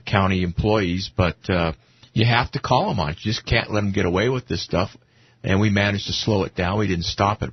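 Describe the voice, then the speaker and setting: A man with a low, rough-edged voice chats as a radio panel guest. He explains things anecdotally, and the sound is dry and lo-fi. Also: no room sound. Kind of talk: informal anecdotal radio discussion.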